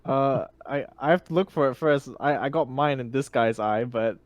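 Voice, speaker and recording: A man's voice: medium pitch, moderate pace, no echo, muffled recording. Male gamer, middle register, casual conversational play at a tabletop session. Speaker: tabletop game player. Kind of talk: casual game chat.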